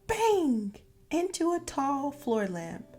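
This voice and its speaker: A woman narrating a children's storybook expressively, with deliberate, dramatic phrasing for young listeners. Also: sits high, sing-song, darkish tone, soft background music. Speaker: children's read-aloud narrator and former teacher.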